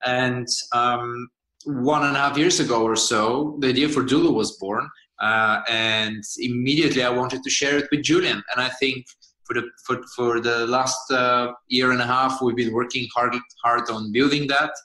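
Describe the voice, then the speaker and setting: A man in his twenties from Bulgaria answers interview questions in a bright voice, earnest and often pausing on "uh" to find his words. His voice rings in a roomy, echoing space. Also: mid-range voice, fairly even intonation. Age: 20s. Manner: earnest, hesitant, many "uh" fillers. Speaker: guest, startup founder.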